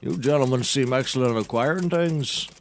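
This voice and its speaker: A man's voice: mid-range voice, fairly quick, a little hiss or hum, close to an everyday voice. An actor playing a pompous, blustering nautical handyman turned defense lawyer, orating theatrically in a scripted courtroom comedy.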